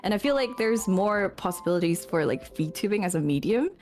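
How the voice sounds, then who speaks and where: A woman co-hosting a casual streamer podcast, upbeat and animated, giggling between thoughts. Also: mid-range voice, brisk, soft background music. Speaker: VTuber podcast co-host. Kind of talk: casual livestream podcast chat.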